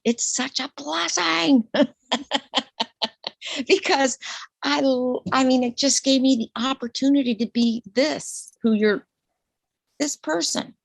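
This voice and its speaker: A woman in her sixties, a retired nurse, talking openly in an interview, animated and laughing, her voice leaping high when excited. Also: slow pace, gravelly, pauses often, no room sound. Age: 60s. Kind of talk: casual interview conversation.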